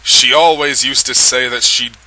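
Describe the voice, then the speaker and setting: Man playing a melodramatic, courtly young vampire, bright-voiced. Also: mid-range voice, steady tone, moderate pace, poor audio. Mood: tormented, regretful. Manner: archaic, overwrought courtly phrasing. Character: lovestruck, gallant young male vampire, melodramatic and tormented.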